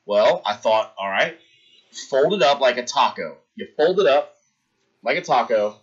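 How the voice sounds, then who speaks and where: Animated man telling a story to chat. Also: mid-range voice, unhurried, husky, muffled recording. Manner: animated, acts out scenes, talks to chat. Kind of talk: animated storytelling on a live stream.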